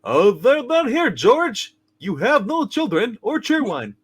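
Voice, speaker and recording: A man's voice: very high-pitched, wildly swooping pitch, slow, breathy edge, full character voice. A man voicing a chirpy, scolding guardian angel in a hammed-up, giggly amateur play reading. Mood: preachy. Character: chirpy, scolding male guardian angel, high-voiced and gleeful.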